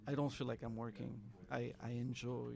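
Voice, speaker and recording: Guy from morocco on a podcast, gravelly voice, kinda flat, stumbles and repeats himself. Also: mid-range voice. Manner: halting, repeats words, laughs often. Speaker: guest, entrepreneur. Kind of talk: casual interview talk. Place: Miami.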